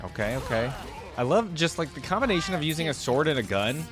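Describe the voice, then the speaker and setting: A man's voice: a little high, sing-song, quick pace. A man giving casual, musing off-the-cuff commentary as a reaction video host. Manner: musing, hedging, short interjections. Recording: game video music behind voice.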